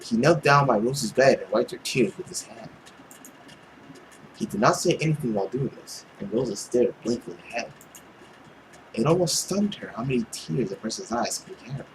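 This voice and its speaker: A man's voice: medium pitch, animated, normal speed, noisy background. A man reading a visual novel aloud as dramatic story narration. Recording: loud music behind.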